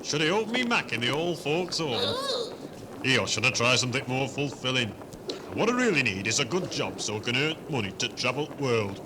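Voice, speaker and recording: A man's voice: mid-range voice, huge pitch swings, brisk, noisy background. A man playing a naive, whiny northern English son in his twenties in a 1970s hospital radio comedy. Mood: hopeful. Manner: plaintive, gormless, exclamatory. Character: naive, whiny, dim 23-year-old son dreaming of fame.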